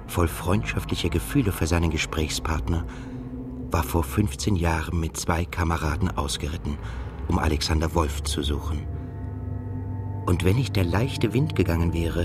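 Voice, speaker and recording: Radio drama lead: introspective journalist-narrator, deep-voiced, thoughtful and measured, over prominent music. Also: male voice. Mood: pensive. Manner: reflective, measured. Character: brooding introspective male journalist telling his story. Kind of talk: literary radio drama, first-person narration.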